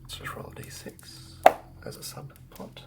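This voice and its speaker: An ASMR host whispers up close, murmuring and thinking aloud in fragments while planning out a tabletop game. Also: measured pace. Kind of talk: whispered ASMR, improvised villain-building ramble.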